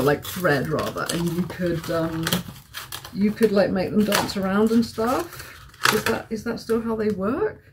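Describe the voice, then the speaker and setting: Giggly, hungover woman with creaky voice. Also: a little high, slight background noise. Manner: excitable, giggly, rambling. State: sore throat, hungover. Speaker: vlogger. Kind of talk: casual vlog chat. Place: hotel room.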